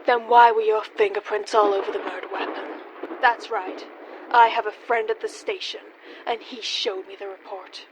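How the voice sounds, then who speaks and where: A woman's voice: mid-range voice, steady tone, normal speed, lo-fi sound, noisy background, natural voice. A woman playing a mocking, teasing femme fatale in a comic noir radio play. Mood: angry, accusing. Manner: teasing, sardonic, theatrical.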